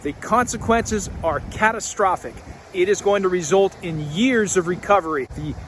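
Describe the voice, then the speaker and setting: Male host, fiery political commentator, high-pitched and emphatic. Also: moderate pace, a little hiss or hum.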